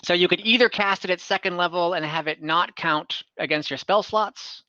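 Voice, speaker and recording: Guy dm-ing a tabletop game online, bright slightly high voice, pauses a lot with uh and um, dull lo-fi call audio. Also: no room sound. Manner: hesitant, explains rules with many fillers. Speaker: game master. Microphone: computer mic on a video call.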